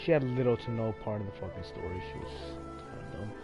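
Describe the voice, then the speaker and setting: A woman with an extremely low voice reacts to a video game with crude, slangy outbursts. The game's music plays clearly behind her casual commentary. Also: fast, mellow. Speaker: gamer reacting. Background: game audio.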